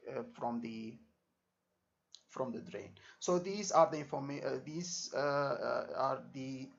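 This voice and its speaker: A man giving an informal exam lesson as a tutor, repeating himself and checking understanding with short tags. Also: on the high side. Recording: dull lo-fi microphone sound.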